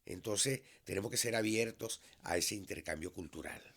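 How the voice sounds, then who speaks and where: A Venezuelan man in his fifties, a teacher, speaking in a measured, earnest monologue that has frequent restarts. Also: lowish voice, gravelly, fairly bright tone, husky. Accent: Venezuelan Spanish. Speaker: vlog host, high school teacher. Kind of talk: reflective solo monologue.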